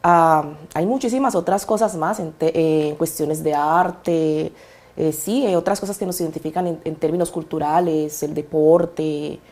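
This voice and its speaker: A woman's voice: sits low, moderate pace, darkish tone. A woman with a Colombian accent from Cali, a guest on a podcast. She speaks fondly and thoughtfully, with many hesitations. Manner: fond, reflective, hesitant with many fillers. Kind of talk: interview, personal storytelling.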